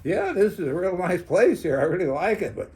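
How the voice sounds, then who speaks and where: An older man with an American accent reminiscing casually as a former club owner, in a halting, rambling way with frequent chuckles. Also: high voice, sing-song, darkish tone.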